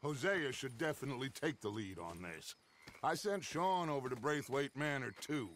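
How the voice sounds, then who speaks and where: An actor playing a persuasive, scheming outlaw gang leader in a game, with an American accent and a gravelly, folksy, smooth-talking delivery. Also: male voice, medium pitch, expressive, normal speed, fairly bright tone, a little hiss or hum, lightly acted. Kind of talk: dramatic game cutscene acting.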